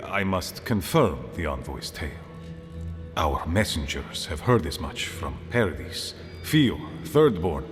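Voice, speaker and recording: A very low-voiced, solemn council elder from a fantasy game. He speaks formally and deliberately, with expressive swings of pitch, in a long hall reverb. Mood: regretful. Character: grave, measured male council elder, thoughtful and regretful. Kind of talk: dramatic fantasy game acting.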